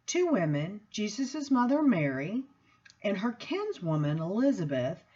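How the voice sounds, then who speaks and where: A woman reads from a book aloud in an expressive style, with measured, deliberate phrasing and emphatic pauses. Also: mid-range voice, husky, dull audio. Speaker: seminary student reading aloud. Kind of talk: expressive book reading with spoken introduction.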